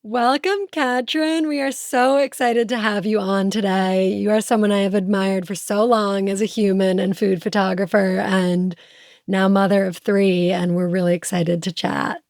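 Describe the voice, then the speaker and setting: A woman's voice: mid-range voice, steady tone, normal speed, vocal fry, darkish tone, no echo, clean studio sound. A woman co-hosting a podcast, welcoming a guest eagerly in casual interview talk. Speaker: podcast co-host.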